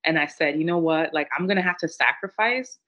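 A woman's voice: low voice, brisk, a bit bright. A woman earnestly sharing her personal health story as a guest, reflective and conversational. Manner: earnest, reflective, many "like" fillers. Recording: dull lo-fi audio.

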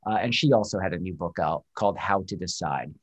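A man's voice: deep voice, lively intonation, quick pace, husky. Man in his forties, chatty host running a talk series and leaning on fillers. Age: 40s. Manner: chatty, filler-heavy, self-deprecating. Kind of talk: webinar hosting and interviewing.

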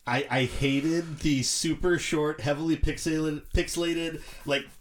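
Male panelist, a little high in pitch, blunt, critical, conversational. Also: fairly even intonation, a little slow. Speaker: podcast panelist. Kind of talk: casual film critique.

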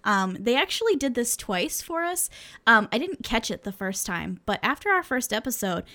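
A woman's voice: fairly high pitch, sing-song, normal speed, sharp tone. A young woman co-hosting a podcast, chatting casually with lots of fillers and laughs, swearing freely. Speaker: podcast co-host.

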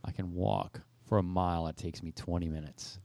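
A man's voice: low-pitched, flat delivery, moderate pace, mellow, dry recording. A man co-hosting a casual podcast, joking and swearing freely, often repeating a phrase for effect. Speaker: podcast co-host.

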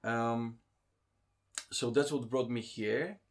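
A man, a guest in a casual interview who talks with many pauses, ums and restarts. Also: mid-range voice, steady tone. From Poland.

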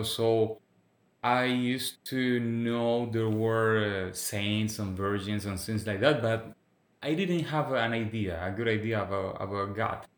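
A man in his thirties from Venezuela with a Spanish accent, speaking English as a guest in a casual interview, halting and restarting his phrases. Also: medium pitch, reverberant. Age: 30s. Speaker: guest evangelist.

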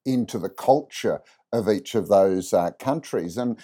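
A man's voice: fairly low pitch, a little slow, dark tone. A man from Australia hosting an interview podcast, chatting easily and leading his guests with questions. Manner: conversational, leading questions with tag questions. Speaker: podcast host.

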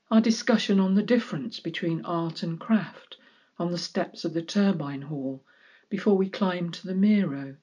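A woman's voice: on the low side, warm tone. A woman poet reading a descriptive literary poem aloud, recorded with muffled fidelity. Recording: dull, lo-fi sound.

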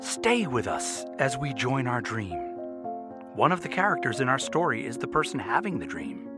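A man playing the theatrical, deliberate storyteller of a mysterious dream tale in a radio drama. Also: mid-range voice, very animated, echoey room, over loud music, close to an everyday voice. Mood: theatrical, intriguing. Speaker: narrator. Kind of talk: scripted radio drama narration.

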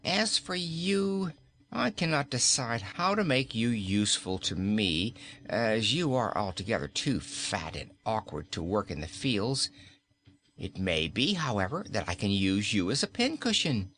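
A man's voice: lowish voice, very animated, measured pace, a bit bright. A man reading a storybook aloud, voicing a cruel queen who teases and gives orders in an imperious way. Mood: mocking. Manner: teasing, imperious. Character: cruel, mocking queen, a woman. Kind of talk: audiobook character voice.

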